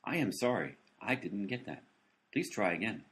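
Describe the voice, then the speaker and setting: A man voicing a glitchy, literal-minded male talking phone in a comedy radio play, reciting flat prompts and mishearing every command. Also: lowish voice, normal speed, husky, full character voice. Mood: robotic.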